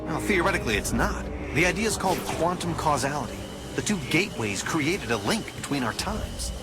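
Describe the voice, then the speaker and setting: A man's voice: on the high side, very animated, brisk, over loud music. A man playing a stern, urgent superhero from the future in a video game, brusque and commanding, with an American accent. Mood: tense, explanatory. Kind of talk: video game cutscene acting.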